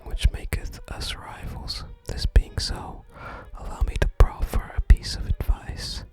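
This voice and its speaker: An ASMR host whispers through a video game, with no voiced tone at all. The delivery is breathy, soft and unhurried, moving between reading game text and murmured commentary. Music plays behind the whisper.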